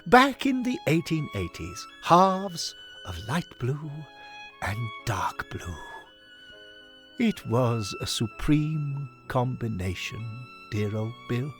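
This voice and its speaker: An effusive, elderly Victorian gentleman in an audio story. His pitch swoops up and down as he dithers fussily and sentimentally, full of old-chum endearments. Music plays behind him. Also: wildly swooping pitch, slow pace, full character voice. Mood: swooning, sentimental. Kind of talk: dramatic audiobook monologue.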